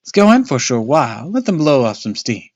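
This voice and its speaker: A man voicing a calm, reassuring mother, speaking softly and raised in pitch. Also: a little fast, dry recording. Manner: soft, reassuring. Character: calm, soothing mother, middle-aged.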